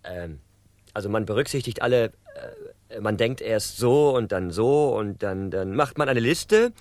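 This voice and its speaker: Simple good natured dude in a kids radio play, lowish voice, super animated, easily flustered and fumbling. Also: male voice, unhurried, no echo. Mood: earnest, hesitant. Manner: hesitant, groping for words. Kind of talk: children's radio drama acting.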